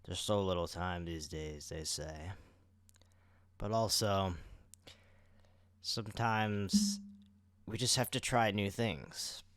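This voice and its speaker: Young guy cohosting a podcast, deep-ish clear voice, dry audio, talks to the listeners like an announcer. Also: fairly even intonation, takes it slowly, long pauses, fairly bright tone, no echo. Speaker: podcast co-host. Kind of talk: casual podcast chat.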